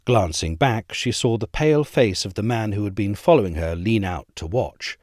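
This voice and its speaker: Man, audiobook-style essay narration, expressive storytelling, dry close recording. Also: on the low side, no room sound. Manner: measured, expressive storytelling read. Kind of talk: scripted narration.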